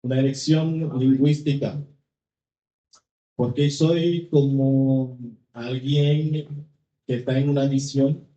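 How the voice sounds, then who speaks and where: Slow, deliberate Haitian man in an echoey hall. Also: medium pitch, steady tone, mellow. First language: Haitian Creole. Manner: slow, deliberate, searching for words in a second language. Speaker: singer answering audience questions. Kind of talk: live public Q&A. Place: cultural venue hall with an audience. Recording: echoey, dull hall sound.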